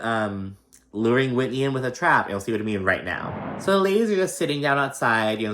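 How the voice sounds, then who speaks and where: A man with a low voice and a slight lisp hosts a recap. He talks casually and gossipily, swears freely, and retells other people's exchanges in an animated way. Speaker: host of a reality TV recap show.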